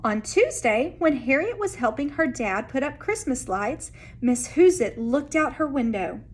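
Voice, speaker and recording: A woman reads a picture book aloud as a teacher would to young pupils. Her voice is high and her pace slow and careful, with expressive phrasing. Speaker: elementary school teacher. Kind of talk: children's read-aloud narration.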